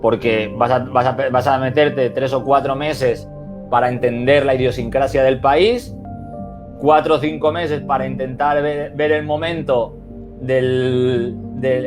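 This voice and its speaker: A man with a Spain Spanish accent talks as a football coach on a video call. He is reflective and stresses his points firmly. He often restarts his sentences and fills the gaps with "eh". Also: mid-range voice. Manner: reflective, emphatic, many restarts and "eh" fillers. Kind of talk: webinar interview answers.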